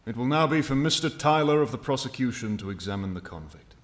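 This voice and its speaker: A man's voice: mid-range voice, animated, fast talker, roomy sound, natural voice. Man playing a stern, formal judge. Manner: formal, commanding. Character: stern, authoritative male judge presiding over court. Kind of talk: audio drama acting.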